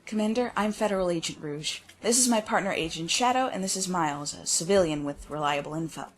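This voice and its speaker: A woman's voice: fairly low pitch, lively intonation, brisk. A woman playing a commanding, assured female federal agent who leads her team in an audio drama. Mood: businesslike. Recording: music bed under the voice.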